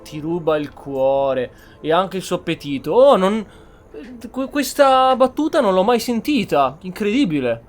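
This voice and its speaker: High-pitched male gamer commentating. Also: sing-song, normal speed. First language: Italian. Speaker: video game player and commentator. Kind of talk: casual spoken commentary over gameplay.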